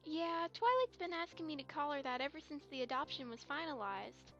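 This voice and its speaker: A woman plays a young pony girl in a fan radio play. The voice is very high and childlike, with an earnest, hesitant delivery. It sounds slightly lo-fi, with music behind it. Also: fairly quick, fairly bright tone. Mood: sheepish, earnest. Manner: hesitant, earnest, stammering over confessions. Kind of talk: fan audio drama acting. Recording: slightly dull, lo-fi microphone.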